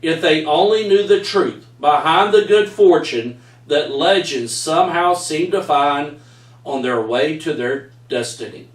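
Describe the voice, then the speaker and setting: A man's voice: fairly high pitch, measured pace, distant mic. A middle-aged man with a Texan accent reading his own story aloud in a folksy way, recorded by a camera across a room at home. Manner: folksy storyteller, says y'all. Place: home room with framed pictures, indoors. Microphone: far camera. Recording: echoey room, voice from afar.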